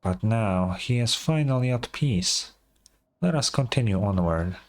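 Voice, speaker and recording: A man voicing a calm, formal guide character in a fantasy video game. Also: medium pitch, measured pace. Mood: solemn.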